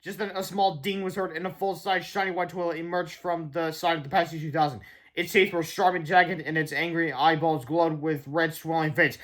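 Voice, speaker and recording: High-pitched man reading a children's book. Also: steady tone, brisk, fairly bright tone. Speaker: storybook reader. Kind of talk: read-aloud children's book narration.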